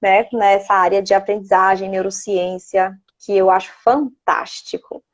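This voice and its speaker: A woman's voice: medium pitch, flat delivery, a little slow, lo-fi sound. A woman in her thirties with a Bahian Brazilian accent, chatting casually and informally as an interview guest. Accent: Bahian Brazilian Portuguese. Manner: chatty, prone to laughing, checking with "né". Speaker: interview guest, child psychologist.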